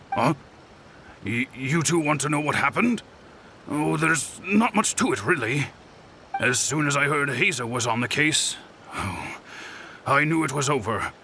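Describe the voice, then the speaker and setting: A man voicing a regretful, resigned former criminal in a video game, gravelly and sighing. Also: medium pitch, bright, forceful tone, slight background noise. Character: rueful male ex-crook, gloomy and resigned.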